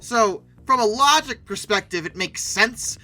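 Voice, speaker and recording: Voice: medium pitch, expressive, unhurried, pushed and bright. A video host giving casual, sarcastic commentary with emphatic delivery.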